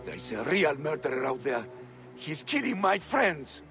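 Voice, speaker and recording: An actor playing a scrappy, excitable male informant in a video game, pleading and theatrical in a melodramatic manner. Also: a little high, normal speed, faint music behind. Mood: panicked, indignant. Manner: melodramatic, indignant.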